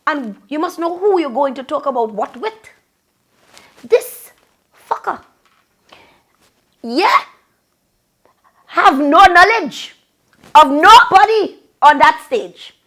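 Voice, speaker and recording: A woman's voice: sits high, lively intonation, slow, pauses often, sharp tone. A woman from Trinidad with a Trinidadian accent, ranting bluntly and profanely to camera in Creole-flavored casual talk. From Trinidad and Tobago. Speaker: vlogger and longtime event host.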